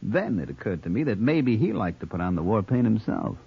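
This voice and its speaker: An American actor playing a sleazy, wisecracking private detective and blackmailer in an old radio crime drama, with a husky voice. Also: male voice, on the low side, big pitch swings, a little fast, exaggerated voice. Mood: sly, insinuating. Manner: wisecracking, insinuating slang. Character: sleazy male private detective, brazen blackmailer, needling and slangy. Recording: muffled old radio recording.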